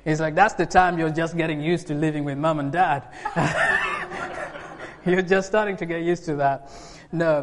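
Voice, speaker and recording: Animated Ugandan-born man preaching, echoey hall. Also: on the high side. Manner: lively, rising to emphatic bursts with laughs. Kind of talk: sermon. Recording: long hall reverb.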